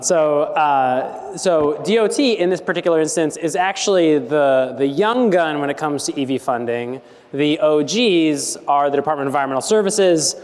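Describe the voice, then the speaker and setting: A man's voice: fairly high pitch, darkish tone. A man moderating a conference panel, casual and self-deprecating as he introduces speakers. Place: conference hall. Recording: long hall reverb.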